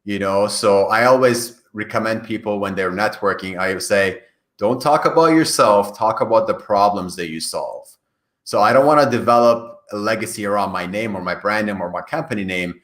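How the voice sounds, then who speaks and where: Male interview guest, coach type. Native Farsi, from Iran. Deep-leaning pitch, emphatic explainer, reverberant room sound. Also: moderate pace, a bit bright. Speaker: career coach, podcast guest. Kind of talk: conversational interview answers.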